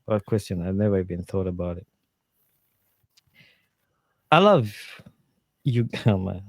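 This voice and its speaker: A man with a slightly low voice talks earnestly and animatedly as a podcast guest. He restarts often and fills pauses with "um" and "like". The sound is dry and close, with little room in it. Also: lively intonation, no echo. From Uganda. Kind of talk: casual interview talk, giving advice.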